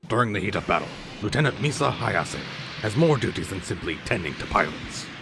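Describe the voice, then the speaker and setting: A man playing a dry, solemn documentary narrator in a deadpan cartoon parody. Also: fairly low pitch, a little fast, vocal fry, music in the background.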